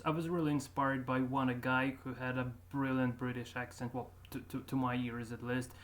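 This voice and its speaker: Man, casual vlog, halting restarts, hissy recording. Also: mid-range voice. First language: Russian. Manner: halting, with frequent restarts and filler. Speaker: YouTuber.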